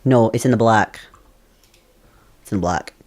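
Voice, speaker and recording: A man's voice: on the low side, measured pace, a little mellow. Chatty Scottish man streaming a game. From Scotland. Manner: chatty, laughs often, thinks aloud. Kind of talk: live game stream chat.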